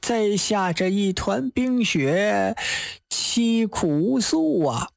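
A woman's voice: mid-range voice, expressive, very slow, creaky, a little mellow, dry recording, clean studio sound, full character voice. A woman voicing a meek old male scholar, plaintive and deferential, drawing out his words in a storytelling performance. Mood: plaintive, aggrieved.

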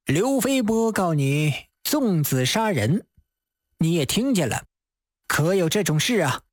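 Woman voicing a stern, low-pitched magistrate. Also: big pitch swings, dry recording. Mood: questioning, authoritative. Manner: commanding, formal, measured. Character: stern, authoritative male county magistrate in ancient China.

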